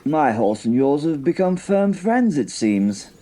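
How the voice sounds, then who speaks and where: Man playing a mellow-voiced battle-hardened medieval knight. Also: on the high side, big pitch swings, a little slow. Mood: wry, gruff. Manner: stammering, sweary, bewildered. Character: battle-hardened male medieval knight, gruff loyal comrade, flustered.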